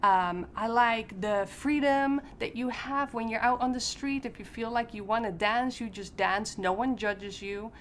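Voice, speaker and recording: A woman's voice: a little high, a little slow, few pauses, slight background noise. A woman talking casually to the camera as a parent, in an upbeat, conversational way. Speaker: parent interviewee.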